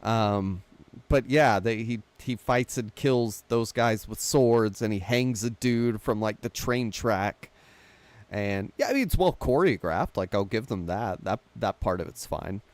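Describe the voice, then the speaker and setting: A man's voice: lowish voice, animated, measured pace, no room sound. A man hosting a casual film discussion, talking through plots conversationally with wry, self-deprecating asides. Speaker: podcast host.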